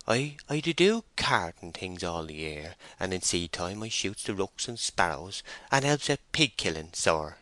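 A man's voice: low-pitched, sing-song, measured pace, few pauses, bright, forceful tone, no room sound, heavily acted. A man voicing a timid, muddled farm labourer with a West Country accent and a hesitant, apologetic manner, in an audiobook story. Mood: nervous, humble. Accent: English West Country.

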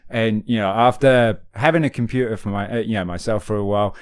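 A man's voice: lowish voice, quick pace, clean tone, no room sound. A British man in his late forties, co-hosting a casual podcast and telling jokey stories about games. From Britain. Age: 40s. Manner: chatty, jokey storyteller. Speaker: podcast co-host. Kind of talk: casual chat.

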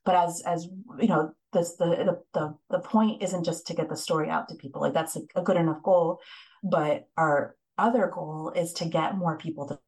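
A middle-aged woman reporter telling her story in a casual interview, quick and animated, with many restarts. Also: low voice, low-quality recording. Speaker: investigative reporter, guest.

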